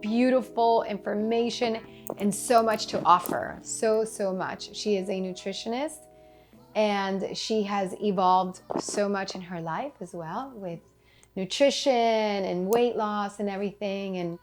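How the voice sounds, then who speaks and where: A woman hosting a podcast, chatting warmly with a guest and repeating words for emphasis. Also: mid-range voice, a little slow, soft background music. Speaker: podcast host and Pilates instructor. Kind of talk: casual interview chat.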